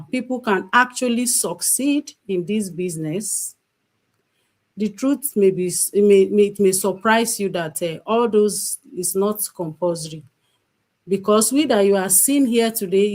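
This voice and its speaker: A woman's voice: mid-range voice, darkish tone. A woman from Nigeria, hosting a live stream and giving an earnest motivational talk with frequent hesitant fillers. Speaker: co-host and business mentor.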